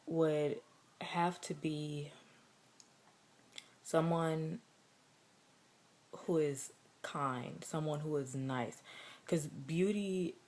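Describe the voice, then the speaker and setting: A young woman with a deep voice, talking earnestly and reflectively in a personal vlog, with many fillers. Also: a little mellow. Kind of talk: personal vlog contest entry.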